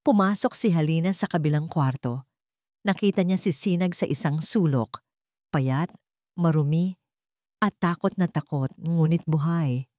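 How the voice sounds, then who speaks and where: A woman's voice: low voice, unhurried, pauses often, warm tone, no room sound, studio quality. A woman narrating a scripted dramatic story.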